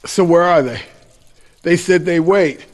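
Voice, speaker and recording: An actor playing a gruff, self-doubting older survivor, wounded and weary, in an audio drama, with a husky, gravelly voice and a halting, strained delivery. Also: male voice, on the high side, close to an everyday voice. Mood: wry, frustrated. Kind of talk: post-apocalyptic audio drama acting.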